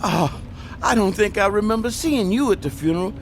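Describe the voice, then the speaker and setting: An actor playing a chatty, folksy, prying small-town cab driver in a radio drama. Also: male voice, high-pitched, lively intonation, brisk. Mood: chatty, nosy.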